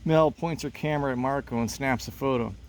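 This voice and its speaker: A man's voice: medium pitch, brisk, a little mellow. Man narrating a play over background noise. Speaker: teacher reading aloud. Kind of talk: scripted read-aloud narration of a play.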